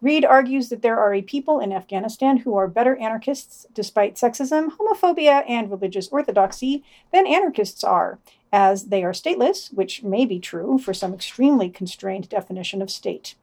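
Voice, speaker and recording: A woman's voice: on the high side, animated, moderate pace, a little mellow. A woman co-hosting a podcast, reading scripted news in a dry, sardonic way with wry, opinionated asides.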